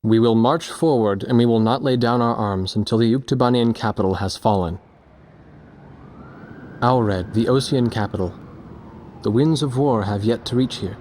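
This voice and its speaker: A man's voice: medium pitch, moderate pace, darkish tone, slight background noise, natural voice. A man playing an earnest young war journalist in a game, narrating reflectively and asking sincere questions. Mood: reflective, somber. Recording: music behind the voice.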